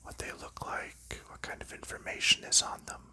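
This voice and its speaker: A man whispering softly through a calm, slow ASMR unboxing of a board game, explaining each piece as he goes. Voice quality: soft unvoiced whisper.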